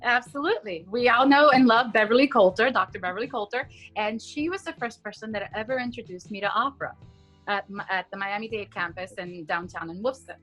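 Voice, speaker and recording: A woman's voice: a little high, a little fast, soft background music. A woman in her forties, born in Cuba, chatting about her life as an opera singer over a video call from home, with many fillers. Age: 40s. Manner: chatty, warm storytelling with fillers. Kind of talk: casual video-call interview. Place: home room. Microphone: video call, laptop camera.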